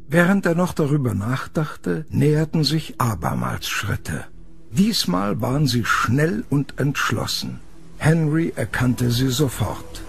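A man's voice: mid-range voice, creaky. A man narrating a horror audio drama as a scripted storyteller.

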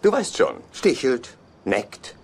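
A man's voice: medium pitch, sing-song, takes it slowly, noisy background. A man playing a naive, henpecked husband in a dubbed sitcom, chummy and quaint in his way of talking. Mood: eager, chummy. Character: goofy, naive, henpecked husband, eager to be pals.

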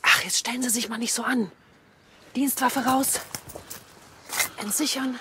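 A woman playing a bossy, impatient, gung-ho policewoman giving brisk orders in a radio play. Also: on the high side, steady tone, bright, forceful tone, slight background noise. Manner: commanding, brisk.

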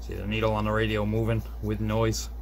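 A man's voice: on the low side, completely flat delivery, vocal fry, a little mellow. A middle-aged man talking casually and unhurriedly, half to himself, as he demonstrates a hands-on repair at a desk. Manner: unhurried, muttering to self while working. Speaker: hobbyist repair video host. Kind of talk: casual hands-on demonstration, narrating as they work. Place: small indoor desk workspace. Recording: faint background noise.

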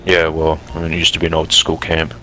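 A gruff male camp boss in a zombie game skit, acted by a man, very low in pitch with a flat, hard-edged, forceful tone. He is guarded and blunt, and faint music runs underneath. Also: fast. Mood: guarded, probing. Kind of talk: improvised roleplay acting in a video game skit.

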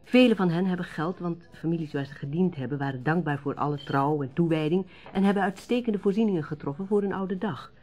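An actress playing a caring, earnest fiancée in a Dutch radio drama. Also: female voice, on the low side, fairly quick, hardly any pauses, warm tone, lightly acted. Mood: explaining. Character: Edwina, caring, earnest fiancée, a lady's companion.

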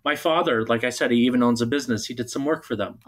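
Young guy from bulgaria on a radio chat, mid pitch, lots of ums, easygoing. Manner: relaxed, halting, many ums and restarts. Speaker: opera singer guest. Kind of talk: casual interview talk. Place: radio studio.

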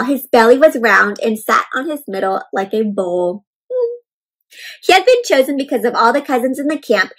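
High, slightly husky young woman reading to children. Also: rough recording. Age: young adult. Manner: expressive, emphatic, teacherly, slowing on key words. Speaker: storyteller reading aloud to children. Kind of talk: interactive children's book read-aloud with questions and explanations. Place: indoor room at home.

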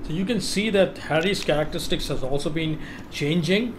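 A man with a fairly high voice hosts a casual gaming walkthrough. He explains step by step, often repeating himself, over clearly audible game ambience and hum. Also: fairly quick. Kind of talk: casual explanatory gameplay commentary.

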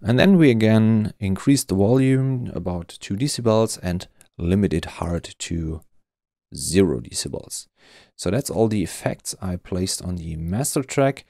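A man presenting an explanatory tutorial, walking through things step by step in a measured way. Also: sits low, expressive, warm tone, no room sound, clean studio sound.

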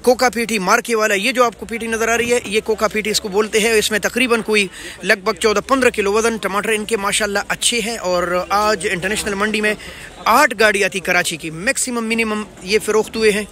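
A man's voice: sits high, fairly even intonation, a little fast, pushed and bright. A man in his thirties with a Pakistani accent, presenting an informational market report in an earnest, projected way. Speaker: host of market rate updates.

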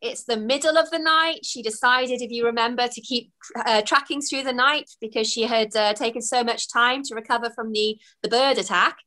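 A young woman reading her own children's book aloud over a video call from a room at home, expressive with gasps and small laughs. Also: fairly high pitch, normal speed. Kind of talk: storybook narration. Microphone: webcam on a video call.

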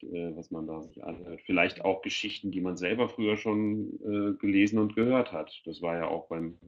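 A middle-aged man talking informally as an expert in an online discussion, speaking into a headset. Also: low voice. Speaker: expert panelist. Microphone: headset on a video call. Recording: dull, lo-fi video call audio.

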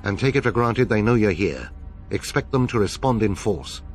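An actor playing a grave, battle-hardened military commander in a video game, speaking in a measured, serious way. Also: male voice, lowish voice, lively intonation, faint music behind, lightly acted. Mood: stern briefing. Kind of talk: dramatic video game voice acting.

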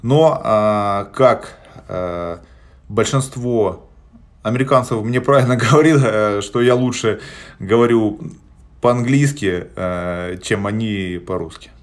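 A man's voice: on the low side, slow. A man in his forties chatting on a live stream from a small indoor room, answering viewer questions bluntly, with many hesitation sounds. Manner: plain-spoken and blunt, with frequent hesitation sounds. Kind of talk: casual live-stream chat. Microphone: phone held at arm's length.

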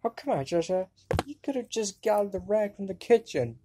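A woman voicing an excitable, sarcastic anime girl in an amateur cartoon fandub. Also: medium pitch, brisk, a little hiss or hum, natural voice. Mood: casual, sarcastic.

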